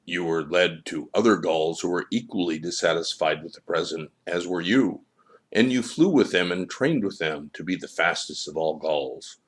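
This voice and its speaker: Man voicing a stern, low-voiced holy child. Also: slightly rough. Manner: stern, measured, didactic. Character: stern, wise divine child, serenely correcting.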